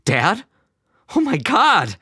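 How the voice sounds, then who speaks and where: A man's voice: lowish voice, sing-song, normal speed, fairly bright tone, no echo, clean studio sound, voice pushed up. Man acting an emotional, exasperated grown son. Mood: shocked, excited. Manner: pleading, emotional, sighing. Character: unhappy, exasperated adult son, emotionally fragile.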